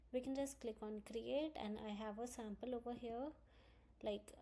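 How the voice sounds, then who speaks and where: Mellow-voiced woman giving a software walkthrough. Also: medium pitch. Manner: step-by-step explaining. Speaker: software tutorial presenter. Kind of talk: screen-recorded software walkthrough, instructional narration.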